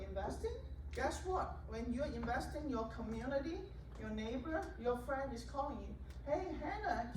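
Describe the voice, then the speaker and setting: High-voiced woman preaching, echoey hall. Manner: emphatic, preachy, non-native grammar. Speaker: realtor panelist. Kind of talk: panel talk, persuasive. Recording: echoey room, distant mic, background noise.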